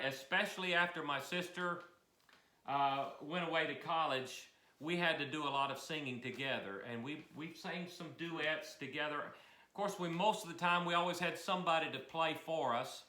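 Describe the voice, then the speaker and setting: A man's voice: on the high side, normal speed, bright, forceful tone. A man in his sixties, a music teacher hosting the program, chatting in a folksy, self-deprecating way from a mountain cabin. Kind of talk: casual instructional chat. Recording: roomy echo. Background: old piano.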